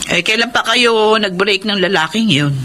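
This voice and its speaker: A woman's voice: mid-range voice, moderate pace, pushed and bright, lightly acted. A Filipino woman acting in a radio drama as a concerned, nosy middle-aged mother. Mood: inquisitive. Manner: fussing, motherly. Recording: faint background noise.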